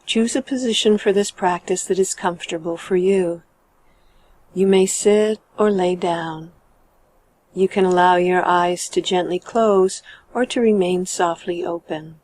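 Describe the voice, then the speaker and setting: A woman guiding a meditation in a calm, measured and gentle manner, letting her sentence endings trail off. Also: fairly low pitch. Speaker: meditation guide. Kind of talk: guided meditation narration.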